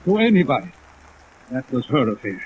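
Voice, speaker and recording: An actor playing a coolly protective, wealthy husband with formal, polite menace in a 1950s radio crime drama. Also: male voice, medium pitch, sing-song, a little fast, narrow, tinny audio, lightly acted. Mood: cold, controlled. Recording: band-limited old radio, rain noise.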